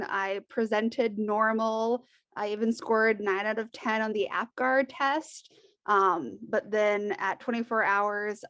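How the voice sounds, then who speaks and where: A woman in her thirties from Washington State, a teacher, explaining clearly in a casual podcast chat. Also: mid-range voice, a bit bright, no echo. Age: 30s.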